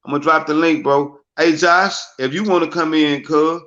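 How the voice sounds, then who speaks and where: Casual stream host: a man who restarts and repeats himself, slangy, higher pitch, muffled lo-fi sound. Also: steady tone, normal speed, fairly bright tone. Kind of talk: casual live-stream chat.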